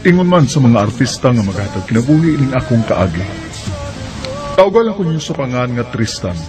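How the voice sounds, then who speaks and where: A man's voice: mid-range voice, big pitch swings, fairly quick. A young man with a Cebuano accent narrating a radio drama earnestly and voicing its lead. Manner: earnest storytelling. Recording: music bed underneath.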